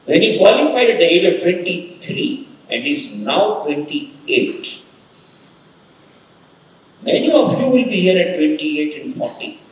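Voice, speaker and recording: A man hosts a corporate summit and introduces the next speaker. His voice is high and lively, and he speaks slowly and emphatically. He is heard from far off in a very echoey hall. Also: muffled recording. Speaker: event host introducing the speaker. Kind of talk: motivational introduction speech. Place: large conference hall.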